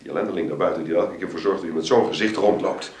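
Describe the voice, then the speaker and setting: A hot-tempered father in an old radio play, his deep voice gruff and blustering. The room around him rings noticeably. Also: racing, darkish tone, echoey room. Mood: angry. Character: father, protective quick-tempered widower.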